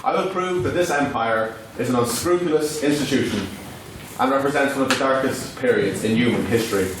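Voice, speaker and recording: A man's voice: medium pitch, fairly quick. Irish man debating in a very echoey hall. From Ireland. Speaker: student debater giving a maiden speech. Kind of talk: formal debate speech. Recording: echoey hall from far off, faint noise.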